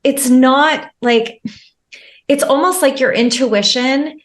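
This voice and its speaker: A woman's voice: sits high, slow pace. A woman who works as a life coach, a guest on a podcast, explaining at length with lots of fillers, restarts and repeated words. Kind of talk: podcast interview.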